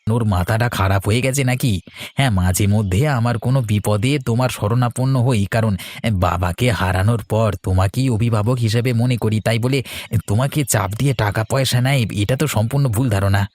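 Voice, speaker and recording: A man's voice: lowish voice, fairly quick, darkish tone, dry recording, close to an everyday voice. A man playing a scheming, mischievous village swindler who gloats in a Bengali cartoon. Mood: indignant.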